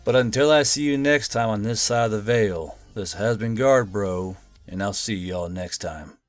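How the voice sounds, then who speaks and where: A man's voice: fairly low pitch, normal speed, soft background music. A man narrating a story in audiobook style as the host and reader.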